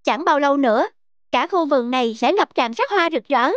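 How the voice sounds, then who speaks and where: A woman's voice: high voice, animated, fairly quick, dry recording, dull audio. A woman voicing a kind, helpful young boy in a cartoon, earnest and encouraging. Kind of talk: cartoon dubbing.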